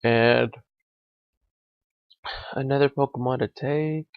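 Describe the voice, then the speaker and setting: A young man with a raspy, gravelly voice that is a little hoarse from being sick. He thinks out loud through a competitive match with plenty of ums and uhs. The sound is dull and lo-fi, with almost no room around it. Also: medium pitch, fairly even intonation, slow, no echo. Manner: thinking aloud, with frequent fillers. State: slightly hoarse from illness. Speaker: gaming commentator. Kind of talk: live competitive game commentary.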